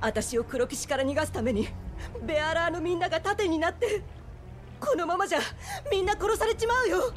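A woman playing a distressed, earthy innkeeper pleading urgently in plain rough speech in a game drama. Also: very high voice, fairly quick. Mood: frantic, tearful. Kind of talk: Japanese video game dramatic acting.

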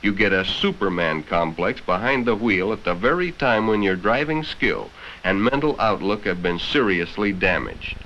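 A man's voice: fairly low pitch, animated, moderate pace, hardly any pauses, pushed and bright, a little hiss or hum. An American man narrating a 1960s educational film from a script in a stern, lecturing, condescending manner. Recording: dull vintage film soundtrack with music.

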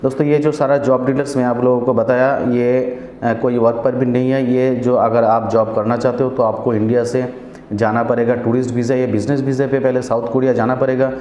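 A man in his forties, a channel host, explaining job openings to camera in a steady, repetitive way, with many fillers and restarts, on a lapel mic in a small office. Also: medium pitch, a little fast. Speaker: visa agent and channel host. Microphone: clip-on lapel mic. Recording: echoey office with faint room noise.

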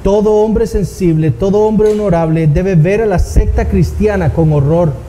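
A man's voice: high voice, normal speed, mellow, slight background noise. A man interpreting a sermon in a church, restating each phrase plainly. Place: church sanctuary.

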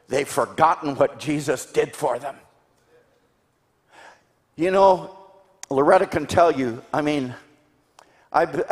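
A man in his sixties preaching a sermon to a congregation with emphatic delivery, rhetorical questions and deliberate pauses for stress. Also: fairly high pitch. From grew up in Tanzania. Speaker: guest preacher. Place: large church sanctuary, indoors. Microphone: handheld microphone. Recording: echoey church hall sound.

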